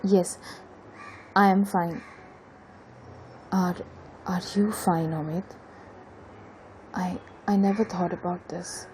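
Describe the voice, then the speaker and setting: A woman's voice: fairly low pitch, steady tone, takes it slowly, pauses often, a little mellow, slight background noise. A woman with an Indian Bengali accent playing a wistful, subdued young mother in an audio drama, giving short, hesitant replies. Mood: subdued concern. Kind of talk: bilingual audio drama dialogue.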